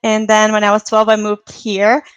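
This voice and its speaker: A woman in her thirties from Lithuania, a native Lithuanian speaker, chatting as a guest on a video call, upbeat and quick to laugh. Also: mid-range voice, completely flat delivery, clear, resonant tone, no room sound, dull audio. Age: 30s. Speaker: guest, fitness coach. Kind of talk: casual chat on a video call.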